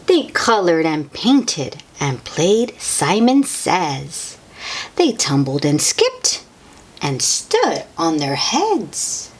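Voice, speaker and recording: A woman reading a picture book aloud to young children in an expressive, sing-song way, with chatty asides to the listeners. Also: deep voice, very slow, husky. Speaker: children's read-aloud host.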